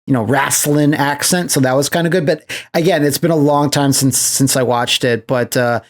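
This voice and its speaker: A man co-hosting a podcast, casually discussing movies in a halting way, often repeating phrases as he explains. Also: medium pitch, fairly even intonation. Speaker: podcast co-host.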